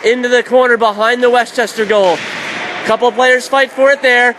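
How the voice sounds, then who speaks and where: A male hockey announcer with a high-pitched voice gives live radio play-by-play. His calls are clipped and excitable. Loud rink noise runs behind him. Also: moderate pace. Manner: excitable on shots, clipped present-tense calls. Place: indoor ice hockey arena.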